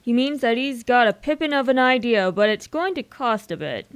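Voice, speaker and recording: A woman takes the part of a breezy young gentleman of leisure in a radio comedy. The voice is fairly high, and the talk is generous and full of period upper-class slang. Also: animated, moderate pace, clean tone, close to an everyday voice.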